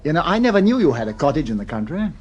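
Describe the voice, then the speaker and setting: An actor with an English accent playing a besotted, romantic gentleman in an old-fashioned radio drama, gushing and a little hesitant. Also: fairly high pitch, sing-song, very fast, breathy edge, muffled recording. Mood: dreamy, romantic.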